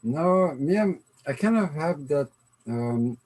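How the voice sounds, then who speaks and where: Mellow-voiced young man, musing. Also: fairly high pitch, expressive, takes it slowly, rough recording. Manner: thoughtful, hesitant, musing. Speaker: co-host. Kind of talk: casual conversation.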